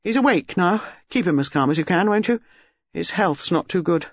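A man voicing a tall, restless, nervous man who talks briskly and hurriedly. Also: high-pitched, animated, no echo. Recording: dull, dry lo-fi recording.

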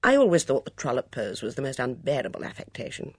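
A woman's voice: a bass voice, very animated, fast talker, husky, no room sound, clean studio sound, full character voice. A woman voicing a glib, teasing young man in love, with flowery, mock-formal speech in an audiobook story. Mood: airy, pompous.

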